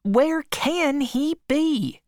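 A woman doing a high, gushing farm aunt. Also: animated, drawn-out words, a little mellow, no echo, studio quality, exaggerated voice. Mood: scheming. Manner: gushing, chattering. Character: fussy, emotional middle-aged aunt on a farm.

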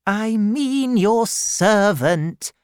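A woman voicing a stern, brusque older aunt who gives orders in a slow, emphatic manner while reading a storybook aloud. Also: medium pitch, expressive, darkish tone, clean tone, no room sound, clean studio sound, voice pushed up. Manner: brisk, bossy, slowed and emphatic.

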